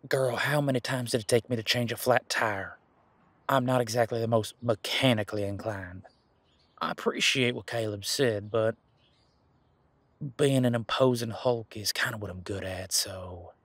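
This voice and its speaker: A man's voice: on the low side, fairly even intonation, normal speed, fairly bright tone, no echo. A man playing an easygoing, protective werewolf in an audio roleplay, talking in an informal, frank and chatty way. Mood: musing, self-deprecating. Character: easygoing, protective male werewolf, imposing but responsible. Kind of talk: casual conversational audio roleplay monologue.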